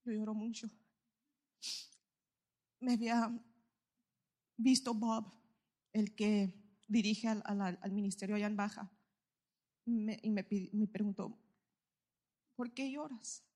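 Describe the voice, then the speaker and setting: A woman giving a heartfelt, halting church testimony, pausing often with fillers. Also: mid-range voice, steady tone, mellow.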